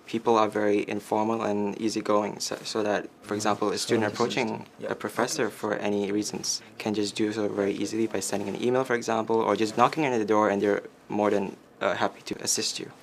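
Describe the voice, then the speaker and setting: A young man from Malaysia, a master's student, talking in a measured, earnest way in an interview. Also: fairly low pitch, little pitch movement, slight background noise. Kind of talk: interview testimonial.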